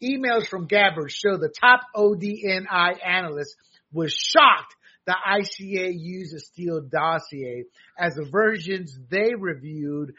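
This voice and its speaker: A man's voice: fairly high pitch, bright, forceful tone, breathy edge, dull audio. A man hosting a political talk show, giving jokey, emphatic commentary and often repeating his words.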